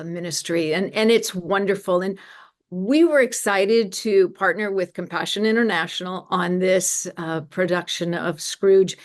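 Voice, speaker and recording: A woman speaking earnestly and enthusiastically as an interview guest promoting her organization's work. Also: medium pitch. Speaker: guest, media ministry representative.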